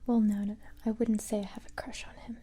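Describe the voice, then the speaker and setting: A woman whispering softly and breathily in an ASMR roleplay as a gentle but tough special-forces recruiter, speaking in a halting, reassuring way. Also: medium pitch, little pitch movement, slight background noise.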